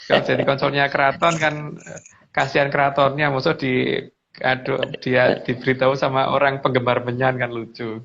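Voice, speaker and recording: A guest speaker, a man, explains in a casual, halting way. His mid-pitched voice has a lot of creak, and he often repeats words. The audio is dull and lo-fi. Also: little pitch movement, a bit bright, a little hiss or hum. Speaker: guest speaker on mystical lore.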